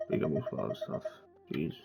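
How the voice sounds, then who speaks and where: A man's voice: a little fast, highs cut off. A man streaming a video game, chatting casually and reading dialogue aloud as he plays. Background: game music.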